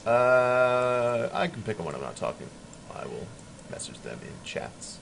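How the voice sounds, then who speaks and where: A man hosts a casual online show and teases his guest with quick jokes. His voice sits at an ordinary pitch. A clear hum of background noise runs under his speech. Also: sing-song. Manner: joking, quick to laugh. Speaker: show host. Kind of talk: casual streamed show hosting.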